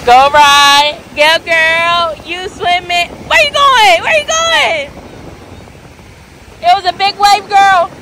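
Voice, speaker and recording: A woman's voice: very high voice, slow. A young American woman vlogging casually on a phone outdoors at the beach, chatting to the camera and calling out to children. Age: young adult. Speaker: family vlogger. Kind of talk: casual chat to camera and family. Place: outdoors on a busy beach by the surf. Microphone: handheld phone, at arm's length when on screen. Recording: loud surf and beach crowd noise. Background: waves, wind and crowd.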